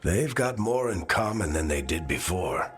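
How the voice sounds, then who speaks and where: Older game mentor: very low, expressive, dry and weary, music underneath. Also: male voice, unhurried, heavily acted. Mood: grave, encouraging. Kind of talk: video game dialogue acting.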